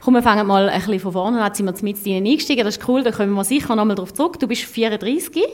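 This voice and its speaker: Swiss German woman hosting, medium pitch. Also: very fast. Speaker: podcast host. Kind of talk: casual interview chat.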